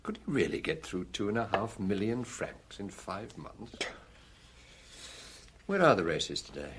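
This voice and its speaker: An actor with a British accent playing a seasoned, gruff middle-aged police chief in a radio drama, measured, ruminative and commanding, with a husky voice. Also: male voice, lowish voice, sing-song, a bit bright, full character voice. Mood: relaxed, thoughtful.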